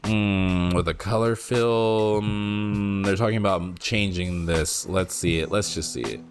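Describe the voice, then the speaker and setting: Card game content creator, non-native English speaker, low-ish voice, reads aloud, rereads, reacts with bursts of excitement, music bed behind. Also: male voice, unhurried. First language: not English. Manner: thinking aloud, rereads lines, sudden excited outbursts. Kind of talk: casual commentary reacting to and reading an article.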